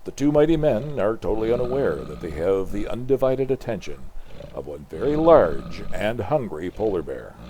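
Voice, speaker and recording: A man's voice: fairly low pitch, big pitch swings, normal speed, warm tone, a little hiss or hum. An older man from Canada reading a comic book aloud as narrator, in a dramatic scripted storytelling style.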